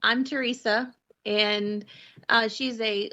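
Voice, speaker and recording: A woman's voice: fairly high pitch, steady tone, takes it slowly, sharp tone. A woman chatting casually, giggly as she talks. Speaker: voice actor in an audio drama. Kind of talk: casual chat.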